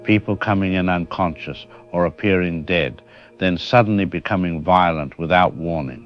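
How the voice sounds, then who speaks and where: A man's voice: low voice, normal speed, close to an everyday voice. A man playing a worried doctor in an audio drama, giving sober, frank, urgent warnings as a radio interview guest. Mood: grave, urgent. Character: grave, worried male doctor warning the public. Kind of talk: radio news interview acting. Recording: dull lo-fi broadcast sound, music underneath.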